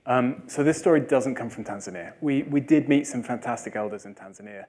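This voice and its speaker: Man, speaker in a Q&A, lively storyteller, jokey, mid-pitched. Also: fairly quick. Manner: lively, jokey, acts out quoted villagers. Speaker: speaker at a talk. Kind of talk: animated Q&A storytelling. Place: lecture hall with audience.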